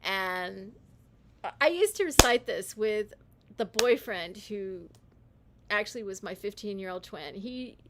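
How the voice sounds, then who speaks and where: A woman's voice: mid-range voice, expressive, background noise. Rambling woman on a home livestream. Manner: rambling, halting, many restarts. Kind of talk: casual livestream monologue.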